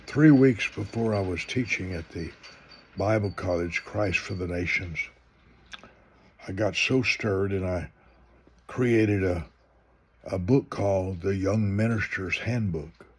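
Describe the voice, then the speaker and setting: Man in his seventies, minister, husky, lowish voice, rambling live-stream announcement. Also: takes it slowly. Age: 70s.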